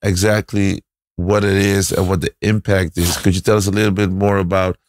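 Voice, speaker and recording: A man hosts a conversational interview, asking attentive, probing questions and affirming what he hears. His voice sits low. The recording is dry and close. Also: flat delivery, a little fast, no room sound. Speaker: interview host.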